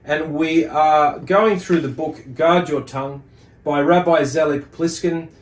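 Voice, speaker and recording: A man with a slightly high voice speaks in an echoey room. He teaches like the host of a religious study series, explaining texts carefully. His phrasing is deliberate and halting, often circling back over a word. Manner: halting, deliberate phrasing with repeated words and pauses. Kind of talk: explanatory lesson with readings and commentary.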